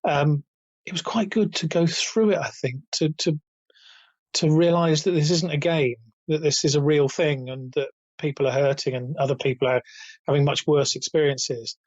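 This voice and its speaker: Interview guest: raspy, slightly high-pitched man in his fifties, halting delivery with restarts, dry muffled call audio. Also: steady tone, darkish tone, dry recording. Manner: hesitant, many restarts, chuckles between points. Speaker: guest, retail author and company founder. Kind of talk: casual interview over a call.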